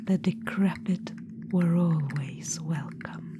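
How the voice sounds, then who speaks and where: A woman's voice: deep voice, takes it slowly. Woman whispering as a smug undead witch-queen. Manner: soft intimate whisper, calls listener darling; smug, boastful, self-satisfied. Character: vain undead witch-queen necromancer, woman, ancient and proud. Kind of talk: ASMR audio roleplay. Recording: whisper with steady background noise.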